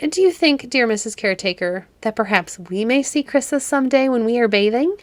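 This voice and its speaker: A woman's voice: on the high side, expressive, a little fast, dry recording, pitched above the performer's own voice, close to an everyday voice. A woman voicing eager, curious children in a storybook, polite and full of wonder. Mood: wondering, hopeful.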